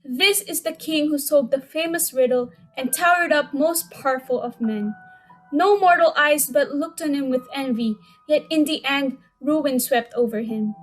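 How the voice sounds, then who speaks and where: A woman's voice: sits high, a little slow, soft background music. A woman playing a solemn tragic chorus, declaiming to the citizens in a classical drama reading.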